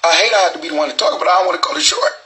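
A man's voice: sits high, big pitch swings, very fast, sharp tone. A man, hosting his own show in a rambling, profane, preachy street monologue full of restarts and stutters. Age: 40s. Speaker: show host. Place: small recording booth.

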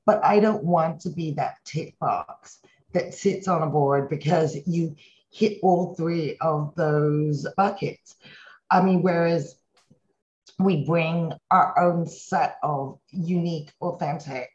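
A woman's voice: deep voice, slow, darkish tone. Fifties businesswoman from Hong Kong, interviewee, thoughtful and earnest; slightly dull recording. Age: 50s. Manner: earnest, reflective, speaks in long run-on thoughts. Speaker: company CEO, interview guest. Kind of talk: conversational interview answers.

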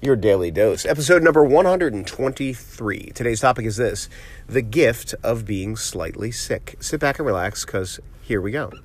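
A man's voice: mid-range voice, expressive, moderate pace. A physician hosting his own podcast while sick with a cold, sounding nasal and sniffly, talking in a reflective, motivational way with frequent fillers and restarts. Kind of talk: casual solo podcast monologue.

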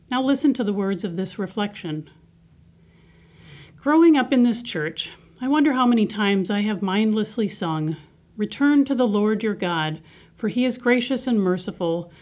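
A woman reading a scripted scripture passage and personal reflection in a measured, earnest way. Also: medium pitch, a little mellow. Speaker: devotional reader.

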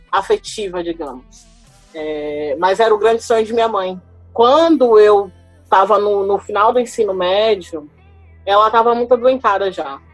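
A woman's voice: medium pitch, no echo. A woman in her thirties from Salvador, Brazil, a lawyer telling her own story on a podcast, thoughtful and hesitant with many fillers and restarts. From Salvador, Bahia, Brazil. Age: 30s. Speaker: guest lawyer. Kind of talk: podcast interview, personal story.

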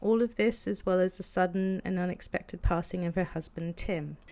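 A woman hosting a podcast, reading a gentle scripted introduction and then asking friendly questions. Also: on the low side, moderate pace. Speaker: podcast host.

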